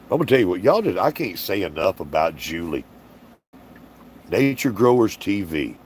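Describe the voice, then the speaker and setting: A man's voice: sits low, sing-song, normal speed, a little mellow, a little hiss or hum. A man hosting a casual livestream Q&A, folksy and rambling, reading out viewer comments in a tired, strained voice. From Alabama, US. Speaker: livestream host.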